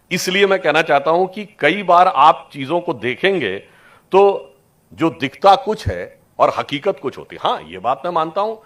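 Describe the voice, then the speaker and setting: A man giving a persuasive political speech to an audience, with deliberate emphatic phrasing, rhetorical questions and English words mixed in. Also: mid-range voice. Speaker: political speaker.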